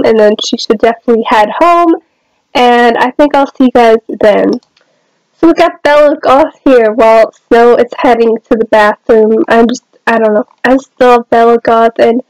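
A woman's voice: a little high, darkish tone, no room sound, poor audio. A young woman with a nasal voice from a cold, chatting casually over her game with many fillers and hesitations. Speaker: let's-play host.

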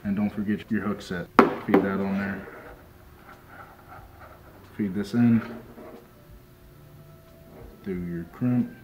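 A young man working as a fishing deckhand calmly walks through a hands-on demonstration step by step, often saying "just like so" as he works. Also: low-pitched, dull audio, heavy background noise. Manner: calm, unhurried, repeats "just like so" while working with his hands. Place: small indoor tackle shop workbench. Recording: roomy sound with music underneath.

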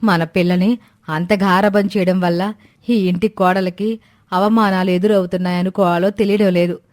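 A woman's voice: lowish voice, fairly even intonation, moderate pace, a little mellow, lightly acted. A woman voicing a stern, plain-spoken middle-aged mother-in-law in a family story, reproachful in manner. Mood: troubled. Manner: reproachful, scolding.

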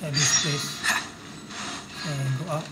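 A man's voice: on the high side, steady tone, measured pace, heavy background noise. A man giving casual gameplay commentary in terse, clipped phrases. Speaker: gamer recording a walkthrough. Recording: loud game music behind voice. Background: video game music and effects.

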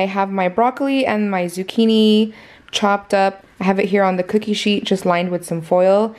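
A woman narrates her meal prep in a chatty, friendly way, explaining each step for viewers. Her voice sits at a middle pitch for a woman. She is recorded on the camera mic in a home kitchen. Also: darkish tone. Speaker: cooking vlogger.

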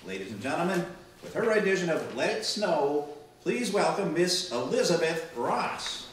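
A man's voice: fairly high pitch, very animated, normal speed, very noisy. Hammy older man as a wisecracking radio emcee, echoey. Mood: jokey, showy. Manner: hammy, joking, punchline delivery. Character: wisecracking male Bob Hope style show emcee. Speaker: master of ceremonies. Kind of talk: old-time radio variety show comedy. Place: small library room, live performance. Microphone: far camera. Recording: distant, very echoey room recording.

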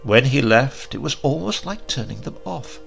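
A man narrating a science-fiction horror story in an expressive, storytelling manner. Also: mid-range voice, normal speed, vocal fry. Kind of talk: audiobook narration. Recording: reading over music. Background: music.

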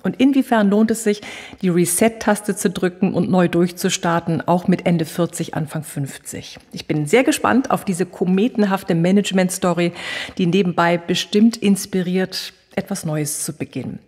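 A woman with a fairly low, husky voice speaks as a podcast host. She presents her guests in a prepared, interviewing style, and her voice carries a long reverb. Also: normal speed, darkish tone. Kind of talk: prepared introduction and interview questions.

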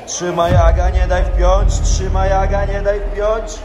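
A man coaching during sparring, shouting quick instructions to the fighters. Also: sits high, level tone. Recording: echoey gym hall with music.

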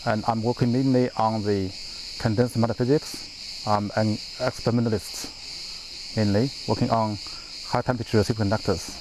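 A man's voice: lowish voice, steady tone, normal speed. A man from China, an experimental physicist, introducing himself plainly outdoors. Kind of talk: interview. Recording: noisy background.

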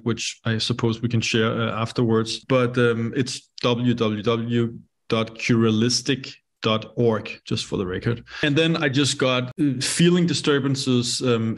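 Danish man in his thirties, guest on a video call, halting delivery. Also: medium pitch. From Denmark. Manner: hesitant, many fillers and restarts. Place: bright home room.

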